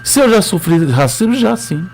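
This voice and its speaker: A man's voice: high-pitched, very animated, moderate pace, darkish tone, faint music behind. A man with a Northeastern Brazilian accent, a history teacher telling his story as a radio interview guest, hesitant with many fillers.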